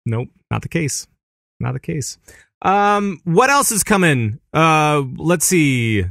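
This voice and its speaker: A man co-hosting a casual gaming podcast, animated and laughing easily, sometimes making silly sound effects. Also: on the high side, slow, vocal fry, no echo, studio quality. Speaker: podcast co-host. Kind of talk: casual chat.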